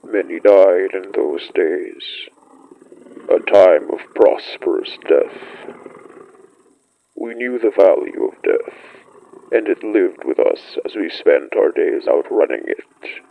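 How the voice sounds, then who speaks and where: Man as an ancient gravelly wolf-beast, very deep. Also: animated, lo-fi sound, full character voice. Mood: grave, reverent. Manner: solemn, measured, poetic. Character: ancient great wolf-like beast, solemn pack elder, recalling primeval winters. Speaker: storyteller. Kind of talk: scripted dramatic monologue, horror fiction podcast.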